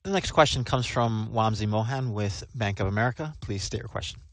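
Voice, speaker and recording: A man with a fairly low voice makes scripted operator announcements on a conference call. The sound is very dry, with no room echo. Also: a little fast.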